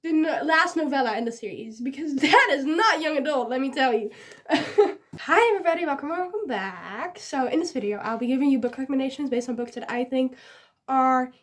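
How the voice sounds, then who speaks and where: A young woman with a Dutch accent and a high voice talks casually as a book vlogger. Dutch is her first language. Her delivery is giggly and rambling, with a self-deprecating streak. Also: lively intonation, brisk. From Netherlands. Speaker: book vlogger giving recommendations. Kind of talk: casual chatty vlog to camera.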